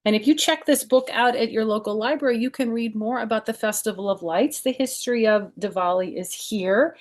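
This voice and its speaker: A woman's voice: a little high, fairly quick. A woman hosting a children's storytime, reading a picture book aloud expressively and adding chatty, informal explanations.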